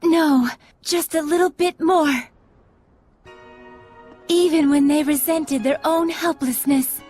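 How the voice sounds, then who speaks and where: A serene guardian spirit in a fantasy game. Her voice is very high, with formal, measured, devoted phrasing. Soft music runs behind her. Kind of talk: dramatic fantasy game voice acting.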